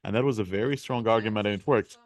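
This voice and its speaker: A man interpreting live at a seminar, speaking haltingly in pieced-together phrases with repeats. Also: lowish voice.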